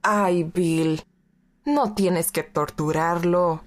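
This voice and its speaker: A woman playing a warm, motherly support-group member who scolds tenderly and slips into in an audio drama. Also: fairly low pitch, fairly even intonation, takes it slowly. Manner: switches into Spanish, tender scolding.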